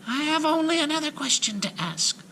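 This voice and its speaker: A man voicing a timid, polite little girl in a storybook, speaking meekly and softly. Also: very high voice, expressive, brisk, a little hiss or hum, voice pushed up, full character voice. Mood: meek, pleading. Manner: meek, hesitant, soft-spoken.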